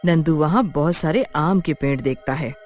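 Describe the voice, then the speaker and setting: A woman's voice: low-pitched, moderate pace, darkish tone, soft background music. A woman narrating a scripted children's story.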